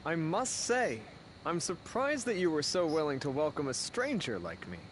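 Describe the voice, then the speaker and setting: Refined, lilting game warrior. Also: male voice, on the high side, normal speed, a little hiss or hum. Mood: courteous, intrigued. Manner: formal, refined, expressive. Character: courteous, earnest male warrior, former enemy. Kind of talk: video game cutscene acting. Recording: loud music behind the voice. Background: game music.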